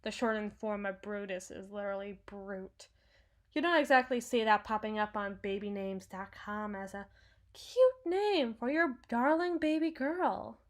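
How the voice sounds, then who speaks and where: A woman playing a cynical, menacing henchwoman with a hidden soft spot in an ASMR roleplay, brooding and sardonic, sometimes sinking toward a whisper. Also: medium pitch, close to an everyday voice. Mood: flustered, sardonic. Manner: slow, brooding, sardonic, dropping into breathy whispers. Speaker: audio roleplay performer.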